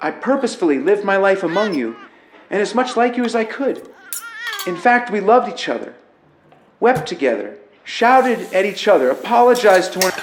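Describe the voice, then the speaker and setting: A man's voice: sits high, background noise. A man playing an affable, nervous alien who poses as human, a pacifist pessimist speaking in wry, rambling, conversational asides in an audio drama. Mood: nervous, earnest. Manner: informal, rambling, self-interrupting, wry asides. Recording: roomy echo, music underneath.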